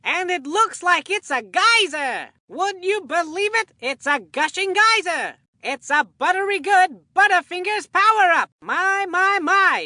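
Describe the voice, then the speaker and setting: High, bright cartoon baseball announcer. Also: female voice, no echo, studio quality, full character voice. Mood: excited. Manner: hammy, folksy announcer delivery. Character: excitable, folksy male cartoon baseball commentator. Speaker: baseball commentator. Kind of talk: scripted video game sports commentary with puns.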